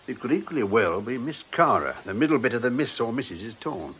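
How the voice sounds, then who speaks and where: A man's voice: mid-range voice, very animated, a little fast, a bit bright, a little hiss or hum. A man playing a deferential, methodical British policeman in a radio detective drama, plain-spoken and matter-of-fact. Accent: British English.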